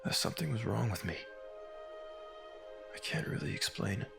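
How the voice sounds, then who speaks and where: A man's voice: fairly low pitch, expressive, normal speed, vocal fry, music in the background. A man playing a weary, unsettled married newspaperman and father in a comic dub, speaking hesitantly. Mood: troubled, hesitant. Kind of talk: dramatic comic dub acting.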